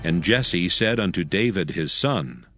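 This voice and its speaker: Deep, expressive male scripture narrator. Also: no echo, clean studio sound. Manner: solemn, measured storytelling. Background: music.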